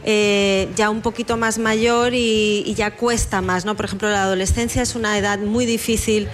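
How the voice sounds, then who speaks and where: A woman author in a relaxed radio chat, thinking aloud and explaining her ideas at a middle pitch. She keeps checking in with her listeners as she talks. Soft music plays faintly underneath. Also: normal speed. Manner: reflective, explains ideas with many "¿no?" tags. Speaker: novelist guest. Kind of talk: casual radio interview.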